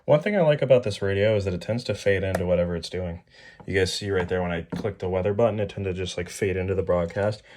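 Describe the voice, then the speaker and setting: A man giving a casual show-and-tell product rundown as a hobbyist video host, informal and somewhat halting. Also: low voice, a little mellow. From New England, United States.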